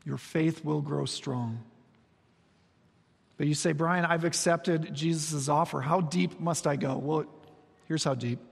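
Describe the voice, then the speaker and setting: A man in his forties preaching a sermon, telling stories with humor and moving between soft, slow passages and quick, lively ones. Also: on the high side, creaky, breathy edge. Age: 40s. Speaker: pastor. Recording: long reverb of a large hall.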